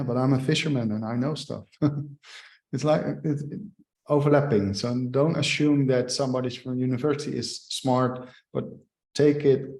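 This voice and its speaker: Man, panelist, animated, halting, mid pitch, echoey room. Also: gravelly. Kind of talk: panel discussion.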